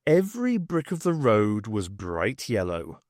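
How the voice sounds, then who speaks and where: A man reading a story aloud as an audiobook narrator, in an expressive scripted style. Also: on the low side, unhurried, no room sound, clean studio sound.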